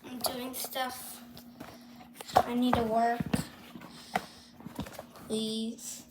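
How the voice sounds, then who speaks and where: A young man playing an anxious shed worker in an amateur horror roleplay, halting and pleading, on a noisy amateur microphone. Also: very high voice, little pitch movement, roomy sound, heavily acted. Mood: nervous, pleading.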